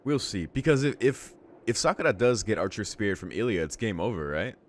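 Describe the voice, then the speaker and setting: A man hosting a visual novel read-along, switching between narrating the text aloud and casual, halting reaction commentary. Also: on the low side, expressive. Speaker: let's-play host reading a visual novel aloud and reacting.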